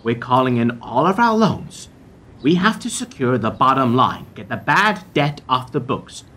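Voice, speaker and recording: A man acting a cold, blunt bank executive in an amateur radio play, talking in brisk, clipped lines. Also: medium pitch, sing-song, pushed and bright. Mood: stern. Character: cold blunt male corporate banker. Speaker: bank executive delivering bad news.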